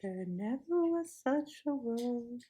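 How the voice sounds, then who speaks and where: A woman voicing a sly witch queen who speaks in a soft, sweet, quiet and musical way, coaxing and half-mocking, in a storybook reading. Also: a little high, lively intonation, takes it slowly.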